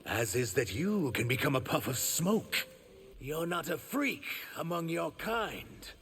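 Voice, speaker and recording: An actor playing a proud, guarded reptilian shapeshifter warrior in human form for a fighting game. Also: male voice, a little high, very animated, unhurried, fairly bright tone, a little hiss or hum, full character voice. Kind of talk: video game fight-intro dialogue.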